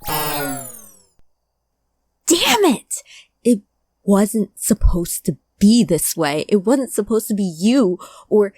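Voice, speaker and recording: Woman playing a slow, mellow, wounded loyal friend. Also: medium pitch, very animated. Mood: angry, hurt. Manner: halting, emotional, emphatic. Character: guarded, grieving woman loyal to her missing childhood friend. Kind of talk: cyberpunk audio drama acting.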